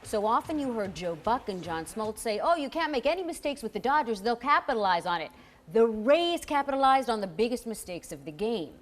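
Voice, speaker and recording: A woman's voice: a little high, big pitch swings, fairly quick. A woman hosting a live sports panel discussion, steering the conversation between analysts. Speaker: sports show host.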